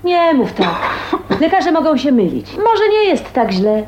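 An actress playing a kind, spirited woman of twenty-nine in a radio drama, earnest, chatty and expressive. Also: sits high, measured pace, dull audio, soft background music. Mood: tender, reassuring. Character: kind, spirited 29-year-old woman, dreamy and plain-spoken. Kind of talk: Polish radio drama acting.

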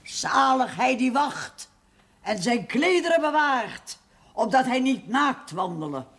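An actor playing a fanatical doomsday zealot who solemnly declaims Bible prophecy in a television period drama. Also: male voice, very high voice, very animated, slow, fairly bright tone, heavily acted. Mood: fervent, ominous.

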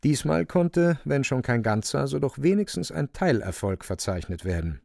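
A man's voice: medium pitch, big pitch swings, moderate pace, gravelly, darkish tone, no room sound. Dry, close male radio drama narrator. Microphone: close studio microphone.

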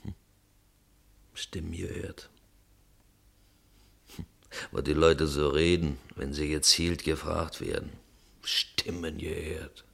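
A man's voice: a bass voice, little pitch movement, slow pace, gravelly, pauses often, husky, exaggerated voice. An actor playing a weary, smug policeman on duty in a German radio play, laconic and musing. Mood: musing, cynical.

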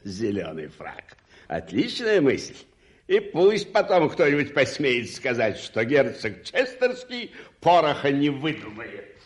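An actor playing a pompous, slow-witted, self-pleased English duke in a radio play, halting and musing aloud. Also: male voice, mid-range voice, wildly swooping pitch. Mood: self-satisfied. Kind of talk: Soviet radio play acting.

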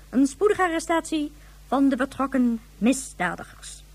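A haughty, indignant Ganymede official, speaking formally in a high voice. The radio play frames the official as easily offended and quick to protest. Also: female voice. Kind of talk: radio drama acting.

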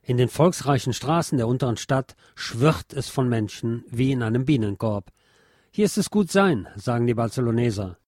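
A man's voice: medium pitch, animated, moderate pace, a little mellow, dry recording, clean studio sound. A man reading a scripted historical narration aloud as a narrator.

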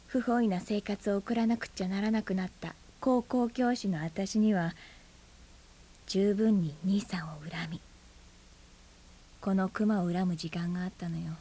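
Husky, brooding aunt. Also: female voice, medium pitch. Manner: soft, often half-whispered, earnest. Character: brooding, bitter woman aunt, a schoolteacher. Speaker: high school teacher. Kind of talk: radio drama acting.

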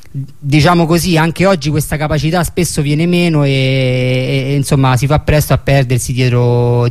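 A man hosts a radio programme, with a fairly high voice. He talks in a halting, searching way, often repeating and restarting phrases as he makes his point. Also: darkish tone. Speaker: radio show host. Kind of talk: hesitant spoken radio commentary and sign-off.

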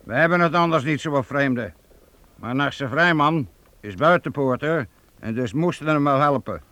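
A man's voice: on the high side, expressive, moderate pace, bright, forceful tone, no echo. An actor playing a brash, proud medieval townsman who leads guild archers, blunt and mocking, in a radio play. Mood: relaxed, friendly. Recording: faintly noisy old radio play recording.

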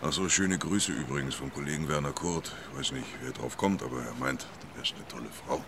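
An actor playing a gruff, curt police detective in a radio drama, gravelly and dryly questioning. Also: male voice, extremely low pitch, a little fast, background noise. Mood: dry, probing. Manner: terse, dry questioning. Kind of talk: naturalistic radio drama acting.

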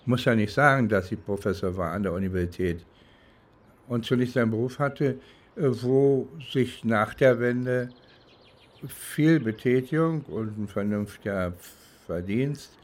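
A middle-aged man reflecting as a private detective in an unscripted-sounding monologue, searching for words in a deliberate, hesitant way. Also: medium pitch. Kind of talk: reflective documentary-style monologue.

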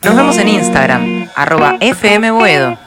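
Female station announcer, scripted tag. Animated intonation, prominent music underneath. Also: on the low side, normal speed. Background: loud guitar music.

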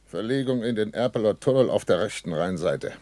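An actor playing a worried, dutiful German army captain in a 1960s radio play, earnest and formal with superiors. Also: male voice, lowish voice, expressive, a little fast, warm tone, no echo, lightly acted. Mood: urgent. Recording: dry early 1960s radio studio.

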